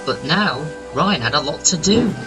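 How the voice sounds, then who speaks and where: A man reads scripted narration for a children's story. His voice sits a little high for a man. Loud music plays under the whole narration. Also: lively intonation, normal speed.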